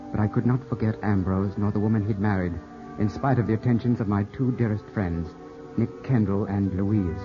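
An actor playing a proud, suspicious young country gentleman in a 1950s radio drama, speaking in a clipped, formal, brooding way. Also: fairly low pitch, close to an everyday voice. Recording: muffled 1950s radio recording, loud music.

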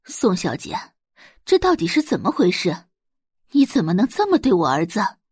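A woman's voice: huge pitch swings, a little mellow, husky, no echo. Woman acting an indignant, proud middle-aged mother. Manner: low, deliberate, word-by-word restrained anger. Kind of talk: audiobook drama character acting.

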